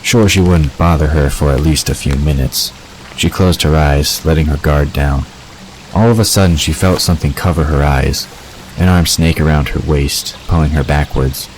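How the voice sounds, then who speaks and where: Male narrator for fantasy audio drama, extremely low voice, scripted prose reading, faint watery ambience behind. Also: moderate pace, a little mellow, a little hiss or hum, poor audio.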